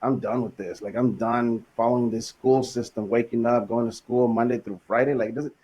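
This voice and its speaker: Laid-back LA man in his thirties, podcast guest, chatty and loose, mid-pitched. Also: fairly quick, husky, rough recording. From Los Angeles. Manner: relaxed, laid-back, frequent fillers. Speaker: podcast guest, business owner. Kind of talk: casual conversational storytelling.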